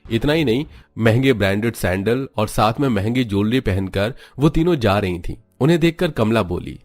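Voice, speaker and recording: Low-pitched male narrator for a cartoon story, steady and dramatic, dry close sound. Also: moderate pace, no echo, soft background music.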